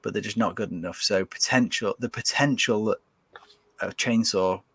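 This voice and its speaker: A man's voice: on the low side, normal speed, fairly bright tone, husky, no echo. A man chatting casually as a podcast guest, rambling with many fillers and restarts. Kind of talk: casual chat.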